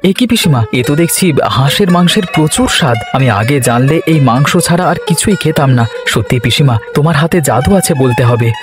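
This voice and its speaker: Man acting quick, high-voiced excitable village husband. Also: music in the background, natural voice. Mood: delighted. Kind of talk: cartoon dialogue.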